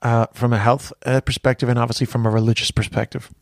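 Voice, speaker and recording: A man's voice: medium pitch, flat delivery, fast talker, no echo, studio quality. A man in his forties with an American accent hosting a podcast in casual chat, rambling with frequent restarts. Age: 40s. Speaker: podcast host.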